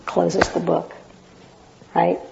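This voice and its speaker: A woman with a naturally loud voice reading a passage dramatically with up-and-down intonation, then sharing her memories in a reflective way. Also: fairly low pitch, monotone, drawn-out words, vocal fry, dull audio, noisy background. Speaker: Zen practitioner sharing memories of a teacher.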